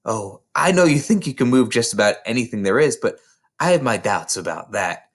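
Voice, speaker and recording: Man voicing a gentle, lilting storybook schoolteacher lady. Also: medium pitch, expressive, fairly quick. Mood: earnest, intrigued. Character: gentle, kindly young woman schoolteacher in a storybook.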